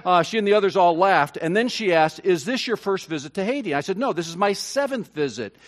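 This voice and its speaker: A man preaching a sermon as pastor, earnest and halting, with many fillers and restarts that give way to emphatic high points. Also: expressive. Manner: earnest, rising to emphatic peaks.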